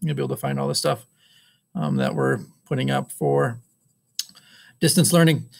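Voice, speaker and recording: A man's voice: medium pitch, wildly swooping pitch, moderate pace, gravelly, husky. A middle-aged man, a woodshop teacher, giving a casual recorded update to his class over a webcam, with many ums and uhs. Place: school wood shop. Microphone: webcam on a screen recording.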